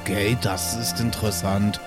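A man's voice: lowish voice, flat delivery, moderate pace, over music. A man chatting casually and improvising as a player in a tabletop role-playing game. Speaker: player in an improvised pen-and-paper audio play.

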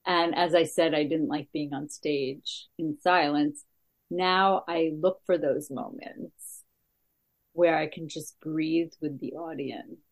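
A middle-aged woman stand-up comedian with a gravelly voice, talking in a halting, casual interview with many fillers. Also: fairly even intonation, rough recording. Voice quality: gravelly, deeper voice.

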